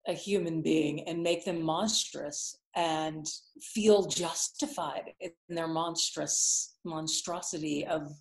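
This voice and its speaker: A woman's voice: sits low, fairly even intonation, slow, hardly any pauses. Earnest woman host in a roomy room. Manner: earnest, rambling with fillers and restarts. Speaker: podcast host. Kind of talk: casual interview. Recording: roomy echo.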